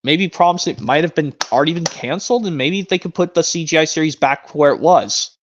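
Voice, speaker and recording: A man's voice: a little high, fairly quick, noisy background. A man in his twenties hosting a casual live stream, rambling and often restarting his sentences. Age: 20s. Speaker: stream host. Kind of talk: casual chat. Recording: muffled lo-fi stream audio.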